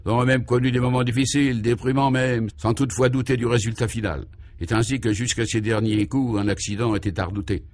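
A man voicing a solemn, earnest inventor who speaks formally and with measured self-importance in a comic radio serial. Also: medium pitch, steady tone, darkish tone, no room sound, natural voice. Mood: grave. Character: solemn second inventor brother, modest yet self-important.

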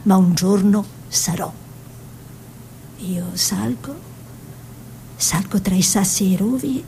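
A woman playing a dreamy, proud young peasant girl in a theatrical radio monologue, speaking in short incantatory phrases with hushed repetitions. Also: lightly acted. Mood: dreamy, wistful. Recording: noisy recording with low rumble.

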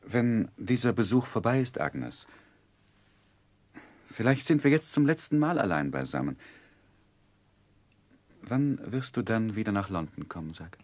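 An actor playing an earnest, tender gentleman who narrates his life in a gentle, reflective, expressive way in a 1960s German radio play. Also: lowish voice, a little slow, long pauses, no room sound. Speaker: first-person narrator and lead.

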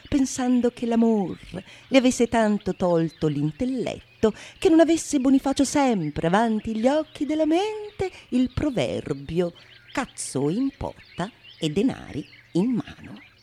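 An actress playing a shrewd, mocking courtesan in a theatrical radio monologue, declamatory and sardonic. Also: female voice, a little high, big pitch swings, unhurried. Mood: mocking, deliberate. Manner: declamatory, sardonic, swinging from sung refrains to hushed asides. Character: shrewd, mocking, calculating courtesan scorning her besotted suitor. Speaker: stage actress performing solo.

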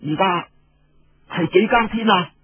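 A man's voice: high-pitched, a little slow, muffled recording, faint music behind, pitched above the performer's own voice. Man acting jumpy warlord with raised voice. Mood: anxious. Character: paranoid, sleepless warlord, a proud elder brother.

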